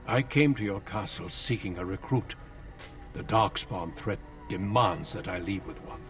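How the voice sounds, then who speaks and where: An actor playing a grave, composed veteran warrior in a fantasy game, speaking in a formal, measured, courteous manner. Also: male voice, low voice, recorded from a distance, soft background music.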